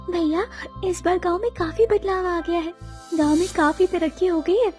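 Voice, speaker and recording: An eager young sister-in-law from the city, voiced by a woman in a cartoon moral story. The voice is extremely high and mellow, with faint music behind it.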